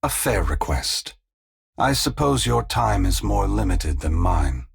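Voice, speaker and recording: An actor playing an ancient, solemn and compassionate sun god in a fantasy video game, speaking gravely and formally with a gravelly, husky voice. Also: male voice, deep voice, sing-song, heavily acted. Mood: solemn, explanatory. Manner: grave, measured, formal, oracular.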